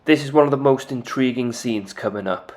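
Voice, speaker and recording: A man calmly explaining video game story triggers in a methodical, plain way. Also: mid-range voice, a little fast, soft background music.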